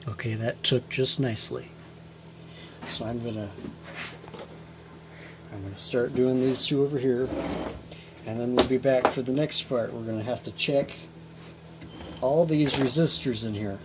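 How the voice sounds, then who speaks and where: A man with a mid-pitched voice hosts a homemade repair tutorial. He explains things step by step in a folksy, informal way, often thinking aloud. Noticeable background noise sits behind him on the camera's microphone. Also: measured pace, pauses often. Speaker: host of a hobby electronics repair series.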